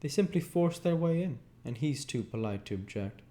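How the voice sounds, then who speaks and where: A man's voice: mid-range voice, animated, moderate pace, dark tone, pitched above the performer's own voice, lightly acted. A man voicing a young society woman in an audiobook, defensive, insistent and emphatic. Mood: indignant. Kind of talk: audiobook character voice.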